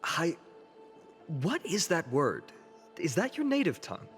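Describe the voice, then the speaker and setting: A cheeky, competitive young hero in a video game. His delivery is lively and teasing at a middling pitch. It carries a long reverb over faint music. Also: measured pace, exaggerated voice. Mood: playful. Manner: teasing, casual. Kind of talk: scripted game voice acting.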